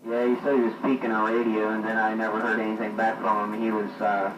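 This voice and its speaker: A man talking over CB radio, plain-spoken and unhurried. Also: lowish voice, flat delivery, lo-fi sound. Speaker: CB radio operator. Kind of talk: casual radio chat. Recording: distant echoey CB radio with static.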